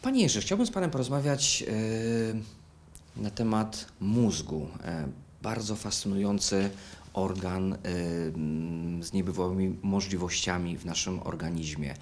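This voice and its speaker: A middle-aged man from Poland hosting an interview, asking questions in halting, conversational phrases. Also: low-pitched, a little hiss or hum. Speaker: interviewer, host. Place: furnished living room, indoors.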